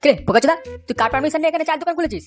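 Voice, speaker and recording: Woman as a high-pitched jeering street thug. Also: rapid-fire, music in the background, close to an everyday voice. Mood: menacing. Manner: threatening, jeering, swearing. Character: foul-mouthed bullying young street thug, cocky henchman. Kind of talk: comic cartoon dubbing.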